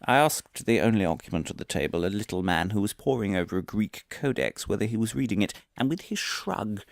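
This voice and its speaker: An actor playing a pompous, paranoid crackpot professor in a comic audio drama, lecturing theatrically with dramatic pauses, moving between hushed conspiracy and emphatic declaiming. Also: male voice, fairly low pitch, lively intonation, gravelly. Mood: grandly mysterious. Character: pompous, paranoid crackpot male professor delivering absurd revelations.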